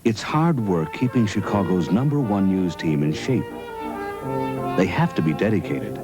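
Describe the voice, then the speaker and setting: A male announcer narrates a mock-serious TV promo. His voice is very low with lively swings in pitch, over loud music. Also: normal speed.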